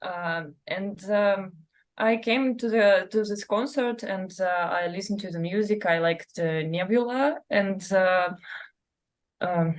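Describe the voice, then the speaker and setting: A woman's voice: mid-range voice, slow. A Belarusian-born woman cellist whose first language is Russian, answering interview questions and searching for English words as she goes.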